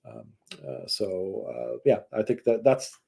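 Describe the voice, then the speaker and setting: A man giving casual, explanatory interview answers as a tech executive, speaking in a halting, rambling way on a video call. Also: deep voice, big pitch swings, creaky, darkish tone, breathy edge. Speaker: tech company chief executive, guest.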